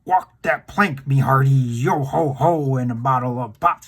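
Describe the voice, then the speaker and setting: Man putting on a rough roaring pirate ghost. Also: medium pitch, measured pace, pitched below the performer's own voice. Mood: menacing, hearty.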